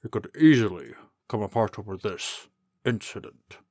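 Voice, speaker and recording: Man playing a pompous, animated old king. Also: fairly low pitch, normal speed, no room sound, studio quality. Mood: pompous, pressing. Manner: pompous, cajoling politician. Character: fussy, blustering old king turned mayor. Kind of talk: comic dub voice acting.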